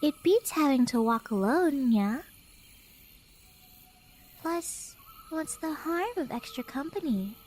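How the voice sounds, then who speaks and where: A very high, unhurried female cat beastkin for an ASMR roleplay. She is playful and clingy, teasing her listener with coy turns of phrase and slipping between soft whispers and speech. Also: lively intonation, slight background noise. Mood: pleading. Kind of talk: flirty ASMR roleplay acting.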